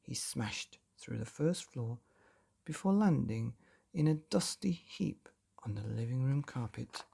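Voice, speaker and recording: A man reads a children's story with a mellow, gravelly voice. His delivery is dramatic and lively in pitch, with long pauses between phrases. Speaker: bedtime story podcast host and reader. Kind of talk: scripted children's story narration.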